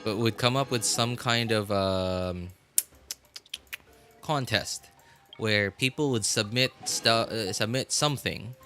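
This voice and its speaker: A man's voice: lowish voice, takes it slowly, sharp tone, faint music behind. A man chatting casually and at length as he livestreams a game. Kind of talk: casual rambling stream chat.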